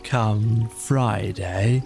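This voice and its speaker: A man's voice: on the low side, drawn-out words, gravelly, a little mellow, faint music behind, full character voice. A man voicing a gloomy, aggrieved donkey with a ponderous, mournful, sarcastic grumble in a storybook audio play.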